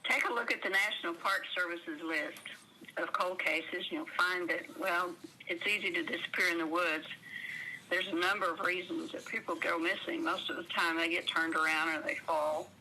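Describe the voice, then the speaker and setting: A woman's voice: on the low side, steady tone, normal speed, pushed and bright, a little hiss or hum, rough recording. A woman playing a guarded, blunt park ranger investigator, answering interview questions matter-of-factly with an American accent.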